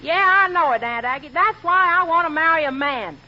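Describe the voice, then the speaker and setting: High-voiced wisecracking radio comedienne. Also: female voice, expressive, brisk. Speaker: comedy show star. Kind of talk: 1940s radio comedy acting. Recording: very noisy, muffled 1940s radio recording.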